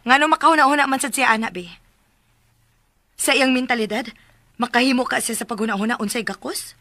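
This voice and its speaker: Close, dry and high, this is an exasperated young wife in a radio drama. She speaks with a Cebuano accent in a very bright voice over a light music bed. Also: fairly quick, dry recording.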